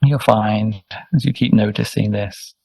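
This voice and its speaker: A man leading a guided meditation as a teacher, speaking gently and soothingly in short, unhurried instructions with pauses. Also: lowish voice, fairly even intonation, gravelly, slightly rough, no room sound. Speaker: meditation teacher, Buddhist monk.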